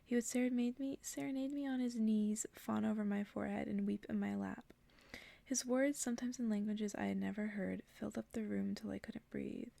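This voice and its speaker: A woman reading a story aloud as ASMR in an intimate, hushed whisper. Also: medium pitch, steady tone, fairly quick, gravelly, no echo. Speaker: ASMR reader.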